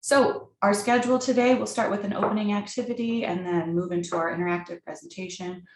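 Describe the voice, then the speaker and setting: A woman opening and hosting an online workshop, speaking deliberately with some fillers over a video call. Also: medium pitch, little pitch movement, darkish tone, echoey room. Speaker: workshop host.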